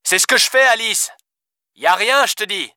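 A man's voice: sits high, moderate pace, bright, forceful tone, no room sound, studio quality, pitched above the performer's own voice. A man reading aloud, voicing a blunt, swearing male police officer in a raised voice. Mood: gruff. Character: gruff foul-mouthed male French cop.

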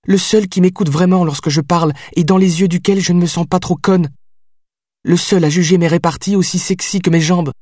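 A man's voice: medium pitch, husky, no room sound, studio quality, pitched above the performer's own voice, close to an everyday voice. A man reading an audiobook voices a passionate, heartbroken young woman who pleads and cries out. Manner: pleading, then shouting through tears. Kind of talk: audiobook character voice.

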